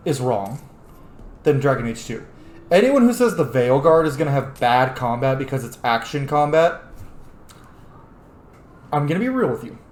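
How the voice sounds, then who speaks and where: A man's voice: a little high, roomy sound, slight background noise. A man hosting a gaming video, giving casual, opinionated and emphatic commentary on his gameplay.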